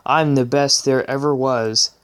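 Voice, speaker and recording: A man's voice: medium pitch, fairly even intonation, a little slow, fairly bright tone, clear, resonant tone, close to an everyday voice. A man voicing a boastful, melodramatic, self-adoring young pony warrior from a fan fiction story. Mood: smug. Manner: grandiose, melodramatic. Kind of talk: fan fiction read aloud.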